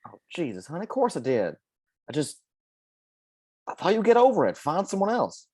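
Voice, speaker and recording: A woman voicing a soft-spoken young male preacher in a deep, placating tone, set back from the mic. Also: wildly swooping pitch, moderate pace, breathy edge, full character voice. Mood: pleading. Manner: low, gentle, placating.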